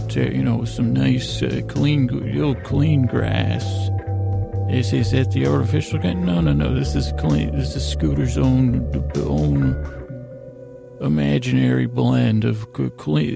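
A man hosting a sleep podcast with a rambling bedtime monologue, gravelly and halting, often trailing off mid-sentence and meandering on. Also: medium pitch, mellow. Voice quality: gravelly, creaky.

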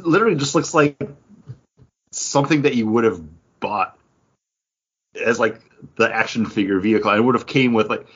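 A man's voice: mid-range voice, normal speed, pauses often, a bit bright, slightly rough, highs cut off. A man chatting casually as a podcast host, rambling and peppering his talk with "like.". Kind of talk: casual comic book review chat.

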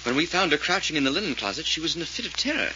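An actor playing an earnest, excitable detective's sidekick in a 1940s radio mystery, proper and easily flustered. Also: male voice, on the high side, rapid-fire, heavily acted. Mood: puzzled. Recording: muffled old radio recording with noise.

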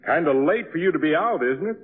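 Male jazz trumpeter, mentor type: folksy, genial, animated intonation, muffled vintage radio recording. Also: fairly high pitch, fast, husky. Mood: amused, friendly. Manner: folksy, amused, encouraging. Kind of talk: 1950s radio drama acting.

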